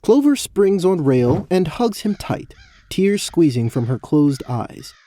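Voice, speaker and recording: Mellow male fantasy story narrator. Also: on the high side, sing-song, slow.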